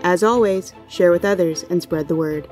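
A woman's voice: fairly low pitch, moderate pace, over music. A woman reading a scripted promotional voice-over as an announcer.

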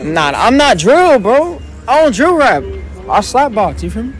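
A man's voice: measured pace, faint music behind. A man, talking in brash, repetitive slang in a casual street interview. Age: 20s. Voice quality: high-pitched. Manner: slangy, brash, repetitive, animated hand-talker. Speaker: interview guest, rapper and comedian. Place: outdoors in a city park with people around. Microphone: phone held at chest by interviewer.

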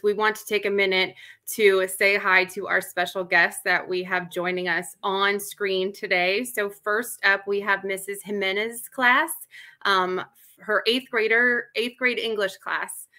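A woman in her thirties hosts an online classroom session with a crisp, bright voice that cuts through. She welcomes guests and classes in a friendly, clear way. Her webcam picks her up in a small room at home. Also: medium pitch. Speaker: host. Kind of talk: upbeat webinar hosting. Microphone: webcam on a video call.

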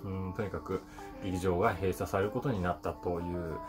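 A man, an actor, musing to camera in a relaxed, unhurried way with many fillers, recorded indoors on a close mic. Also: low voice, over music. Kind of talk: casual vlog talk to camera. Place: indoors in front of a brick backdrop.

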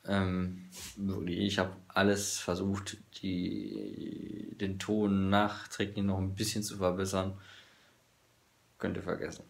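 A man in his thirties, hoarse, vlogging casually to a phone camera in a small room, halting with many fillers. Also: deep voice. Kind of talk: casual vlog talk to camera. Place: small bedroom office indoors. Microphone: phone camera at arm's length.

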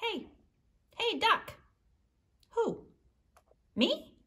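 A woman reading a picture book aloud, voicing a small eager duck and the other animals with bouncy jumps in pitch. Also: big pitch swings, extremely slow, pushed and bright, exaggerated voice. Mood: playful.

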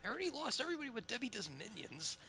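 A man's voice: extremely high pitch, very animated, racing, bright, forceful tone. A man giving casual comic banter as a podcast panelist. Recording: clear background noise.

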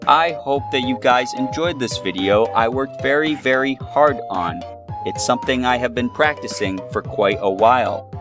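A man's voice: mid-range voice, moderate pace, music in the background, lightly acted. A man voicing a generic, earnest anime protagonist in a stilted, formulaic manner. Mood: polite. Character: generic earnest male anime hero, blandly determined.

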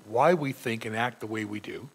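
A man's voice: lowish voice, normal speed, breathy edge. A middle-aged male pastor with a sore throat, preaching earnestly from a church pulpit. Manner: earnest preaching, reading scripture aloud with emphasis. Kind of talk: sermon. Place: church sanctuary.

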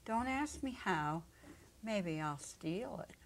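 An elderly woman playing a warm, spirited, plain-spoken old country woman in a dramatic story reading, folksy and earnest. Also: medium pitch, expressive, slow pace, a little hiss or hum. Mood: wistful, determined. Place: indoor stage set, seated at a music stand.

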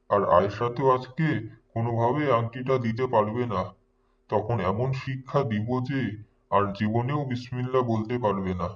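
A man's voice: medium pitch, far from the mic, lo-fi sound, lightly acted. A man playing a scheming, irritable middle-aged father in a moral cartoon, with a theatrical delivery. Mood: gloating. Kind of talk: Islamic moral cartoon voice acting.

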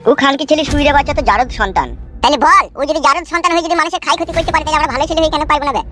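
A woman's voice: high-pitched, very fast, a bit bright, faint music behind, voice pushed up. A woman voicing a crude, hot-headed village man who swears heavily while plotting revenge. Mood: heated. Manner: swearing, heated. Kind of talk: cartoon voice acting.

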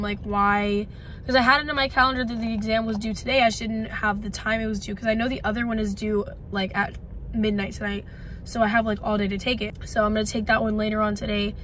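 A woman in her twenties talks casually into a phone from her parked car, her voice stuffy and partly gone. She rambles with lots of likes, in a slightly high, flat voice. Faint car noise sits behind her. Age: 20s. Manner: chatty, rambling with many likes. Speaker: vlogger. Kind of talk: casual chat to camera. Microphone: phone camera at arm's length.

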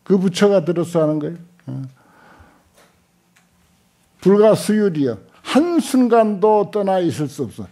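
Older man, Buddhist lecture, relaxed dark-soft timbre, slightly high pitch, frequent interjections, emphatic repetition. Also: a little slow, pauses often. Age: older, 70s or above. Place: indoor lecture hall with seated audience. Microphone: desk stand microphones.

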